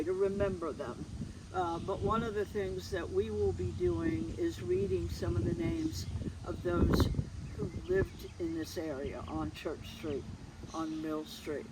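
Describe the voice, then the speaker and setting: Chatty woman interviewer amid outdoor street noise. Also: lowish voice. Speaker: interviewer, host. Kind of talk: casual conversational interview. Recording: noisy outdoor recording, street and traffic sound.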